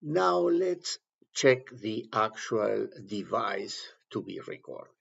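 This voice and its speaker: A man instructing step by step through a software tutorial, explaining in halting phrases. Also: fairly low pitch, big pitch swings, vocal fry, slightly rough. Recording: dull, lo-fi voice-over recording.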